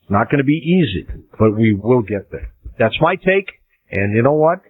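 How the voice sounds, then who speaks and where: A man hosting a radio show, answering a caller in a steady, reassuring and emphatic way. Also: mid-range voice, normal speed. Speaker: radio host. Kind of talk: call-in radio talk. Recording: narrow phone-like radio sound.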